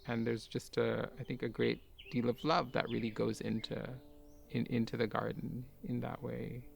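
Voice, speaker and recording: A man who grew up in Kuwait, a garden owner and artist, talking in a soft-spoken, musing way with light laughs. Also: mid-range voice, level tone, normal speed, gravelly. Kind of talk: reflective personal talk for a garden video.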